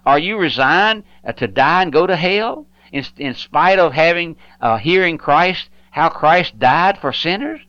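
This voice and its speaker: A man's voice: fairly high pitch, lively intonation, measured pace, hardly any pauses, a bit bright, no room sound, highs cut off. A man pastor preaching an earnest, pleading radio sermon, often repeating himself and stumbling over words.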